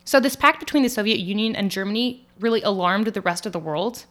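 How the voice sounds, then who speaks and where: A woman raised in France co-hosting a history podcast, giving clear, steady scripted narration in an explanatory tone. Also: mid-range voice, fast talker. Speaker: podcast co-host.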